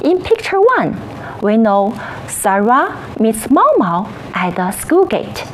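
A woman teaching a children's English lesson, encouraging and praising in slow, sing-song teacher talk. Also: fairly high pitch, big pitch swings, slight background noise, rough recording.

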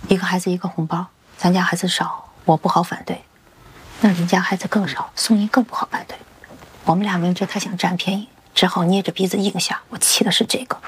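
An actress playing an outspoken, animated housewife in a naturalistic television drama. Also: female voice, on the high side, moderate pace, a little hiss or hum. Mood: resentful.

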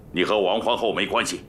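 A man's voice: deep voice, bright, forceful tone, slight background noise, heavily acted. A man acting a blunt, outspoken, teasing comrade in a historical drama, with an exclamatory way of talking.

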